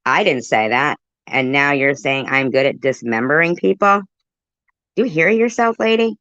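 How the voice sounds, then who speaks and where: A woman's voice: low-pitched, moderate pace, a bit bright, no echo, dull audio. A woman hosting her channel, cutting in on a clip with heated, swearing rebuttals. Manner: combative, swearing, cuts in to rebut. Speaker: channel host reacting to a clip. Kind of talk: heated commentary.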